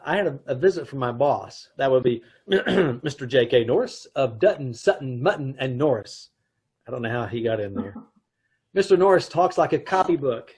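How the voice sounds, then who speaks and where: A man's voice: mid-range voice, darkish tone, slightly rough. A man playing a wry middle-aged husband who narrates an old-time radio comedy. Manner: dry, wry storytelling.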